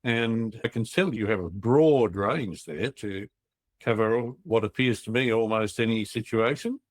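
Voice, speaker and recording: A man's voice: fairly low pitch, measured pace, no echo. A man hosting a business podcast, interviewing in an upbeat, jovial way. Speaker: podcast host. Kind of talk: casual interview chat.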